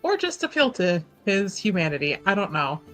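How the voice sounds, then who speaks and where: A woman's voice: medium pitch, very animated, normal speed, fairly bright tone, soft background music. A woman chatting casually as a tabletop game player, thinking aloud with a giggly, informal manner.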